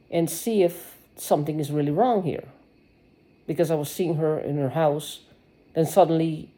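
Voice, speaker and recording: A woman's voice: low voice, dark tone, low-quality recording. A woman giving a rambling personal video monologue, agitated and sarcastic, swearing and restarting her sentences. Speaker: vlogger.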